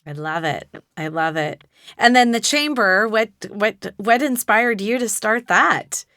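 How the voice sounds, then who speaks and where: A woman hosting an interview podcast, chatting in a relaxed, affirming way as she asks questions. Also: mid-range voice, a little slow, no room sound, clean studio sound. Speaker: podcast host. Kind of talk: conversational interview.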